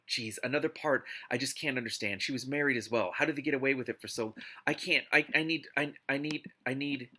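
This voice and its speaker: A man hosting a live read-aloud of a book, mixing narration with casual, exasperated and expressive commentary. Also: mid-range voice, brisk. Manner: exasperated, expressive asides while reading.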